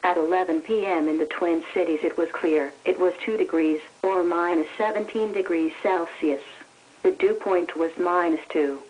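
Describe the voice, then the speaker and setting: A woman's voice: fairly low pitch, steady tone, normal speed, darkish tone, low-quality recording. A synthetic American female voice reading scripted weather conditions formally and impersonally on weather radio. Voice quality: synthetic text-to-speech voice. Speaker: weather observations announcer. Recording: weather radio broadcast reception.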